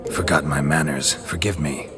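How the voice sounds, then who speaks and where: An actor playing a terse, gruff male monster hunter who speaks in dry, clipped lines, music underneath. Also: very deep voice, fairly even intonation, moderate pace. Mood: curt. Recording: music behind.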